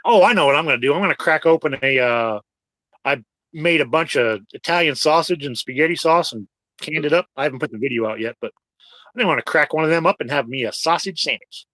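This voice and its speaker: A man with a fairly high, bright voice chats and jokes with his co-host on a livestream. The sound is dry and close, with no room around it. He talks in a friendly, easygoing way. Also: fast, no room sound, poor audio. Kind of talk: casual livestream chat. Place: Alaska.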